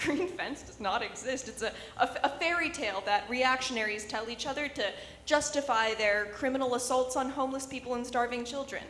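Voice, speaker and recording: A woman's voice: sits high, fairly quick, hardly any pauses, a bit bright. A woman playing a dismissive, lecturing district attorney in a radio drama interview. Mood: dismissive, indignant. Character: dismissive, self-righteous female district attorney. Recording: echoey hall, live stage, faint noise.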